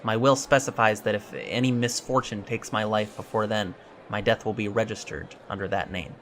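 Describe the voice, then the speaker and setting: A man's voice: lowish voice, fairly even intonation, normal speed, a bit bright, slight background noise. A man playing an eager, emotional young alien cadet in an audio drama interview, hesitant, polite and self-deprecating. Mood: earnest, solemn.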